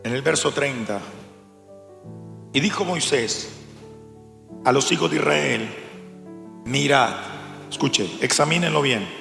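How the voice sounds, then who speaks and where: A man's voice: mid-range voice, takes it slowly, vocal fry, pauses often, fairly bright tone. A man with a Latin American Spanish accent preaching a sermon, speaking emphatically and addressing his congregation directly with rhetorical questions and repetition. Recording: echoey hall, long reverb, music behind.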